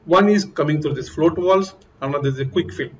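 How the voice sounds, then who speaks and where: A man's voice: a little high, a little fast. A middle-aged man, explaining piping over slides in an earnest, step-by-step way, recorded in a small room on a lapel mic. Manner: earnest, explains step by step, pointing things out on diagrams. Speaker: HVAC instructor. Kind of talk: technical lesson over slides. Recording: dull lo-fi audio with faint noise.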